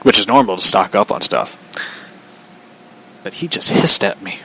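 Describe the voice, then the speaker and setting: Bright-voiced man rambling through casual stories. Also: sits low, animated, normal speed, slight background noise, rough recording. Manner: foul-mouthed, incredulous. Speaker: podcast host telling stories.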